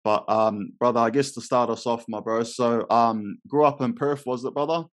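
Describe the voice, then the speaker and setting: A man's voice: mid-range voice, steady tone, moderate pace, no room sound. A man hosting an interview in a laid-back way, calling the guest bro and brother and filling gaps with um. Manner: laid-back, calls everyone bro and brother, many fillers. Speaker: podcast host. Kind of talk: casual interview.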